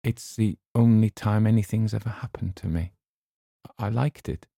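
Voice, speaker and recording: A man's voice: on the low side, fairly even intonation, normal speed, creaky, dark tone, no room sound, clean studio sound, pitched above the performer's own voice. A man voicing an earnest, fragile young woman who stammers and pleads, in an audiobook reading.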